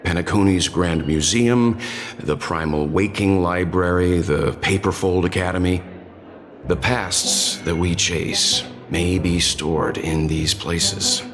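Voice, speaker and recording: An actor voicing a calm, wry older gentleman in video game dialogue, unhurried and dry. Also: sits low. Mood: wry, relaxed. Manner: unhurried and dry, with light chuckles. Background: game music.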